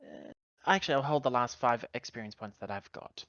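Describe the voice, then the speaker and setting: A man with an average-pitched voice chats casually during a tabletop game. The sound is close and dry, with a slightly dull, lo-fi edge. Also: a little fast, fairly bright tone, no echo. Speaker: tabletop roleplaying player.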